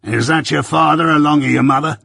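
A man voicing a fearsome escaped convict, gruff and threatening, in a husky, dry-sounding read. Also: a little high, fairly quick, bright, forceful tone, dry recording, pitched above the performer's own voice, heavily acted. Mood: menacing. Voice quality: growling, gruff. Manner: menacing, barking orders.

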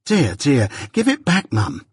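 A brisk female nursemaid, put on by a man reading a storybook, sharp and bossy. The voice is raised well up in pitch, with a very husky grain. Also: wildly swooping pitch, no room sound, studio quality, full character voice. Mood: brisk coaxing. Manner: sharp, instructing.

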